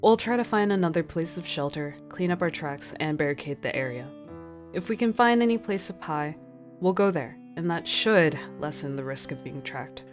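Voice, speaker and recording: A woman's voice: low-pitched, lively intonation, moderate pace. A woman playing a stern, cautious expedition leader in a radio drama, commanding and no-nonsense. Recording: faint guitar music behind.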